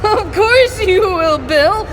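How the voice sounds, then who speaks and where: A woman's voice: extremely high pitch, lively intonation, takes it slowly, a crowd in the background, full character voice. A woman voicing an eerie, sentimental talking dead cat in a comic audio drama. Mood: tender, solemn. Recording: noisy background.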